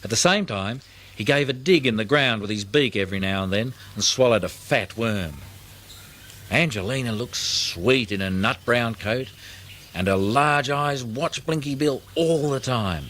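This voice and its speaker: Man narrating a children's story, animated intonation. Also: mid-range voice, a little slow, slight background noise. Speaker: audiobook narrator. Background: plucked guitar music.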